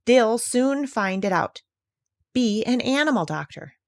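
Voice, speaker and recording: Lady doing a proud bossy girl parrot for a storybook, high voice, swoops around a lot, dry close sound. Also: animated, unhurried, gravelly, no echo. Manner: emphatic, instructive. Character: proud, bossy female parrot, eager teacher.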